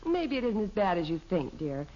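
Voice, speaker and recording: An actress playing a patient, gently chiding American wife and mother in an old radio sitcom. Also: mid-range voice, big pitch swings, moderate pace, close to an everyday voice. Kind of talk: 1950s radio sitcom acting. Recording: muffled, noisy old radio recording.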